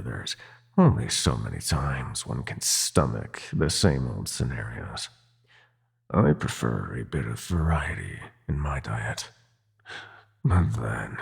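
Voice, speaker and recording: Sinister prince of nightmares: extremely low, gravel-throated, taunting and possessive, with theatrical swoops, for villain ASMR roleplay. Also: male voice, heavily acted. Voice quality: gravelly, husky. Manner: theatrical, taunting, drawn-out menacing purr with whispered phrases.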